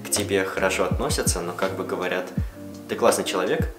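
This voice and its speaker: A young man casually answering viewer questions in a relaxed, chatty way. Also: low-pitched, fast talker. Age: young adult. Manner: relaxed, chatty, switches between Russian and English. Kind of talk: casual Q&A vlog talk. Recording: roomy echo with music behind.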